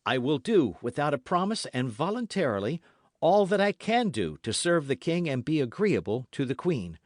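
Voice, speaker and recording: A man's voice: on the high side, very animated, normal speed, dry recording, voice pushed up. Guy doing a gallant young soldier in an audiobook, eager n courtly, voice jumps around, dry sound. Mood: eager, confident. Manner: emphatic, courtly, formal speech. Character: brave, eager young guardsman, gallant and bold. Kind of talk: dramatised audiobook reading.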